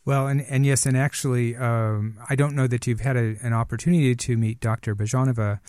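A man's voice: mid-range voice, steady tone, fairly quick, darkish tone, clear, resonant tone, no room sound. Middle-aged man hosting an interview, dry sound. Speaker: radio interview host. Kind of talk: conversational interview.

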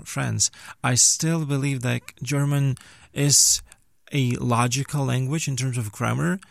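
A man's voice: medium pitch, gravelly, no echo, studio quality. A young man from Ukraine, a language teacher earnestly answering interview questions and sometimes searching for words. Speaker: guest, language teacher and graduate student.

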